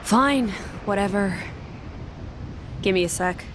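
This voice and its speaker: A woman playing proud warrior, measured pace. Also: mid-range voice, noisy background, close to an everyday voice. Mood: exasperated. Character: proud, blunt young woman warrior with hidden hurt. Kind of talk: video game cutscene acting. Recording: music behind the voice.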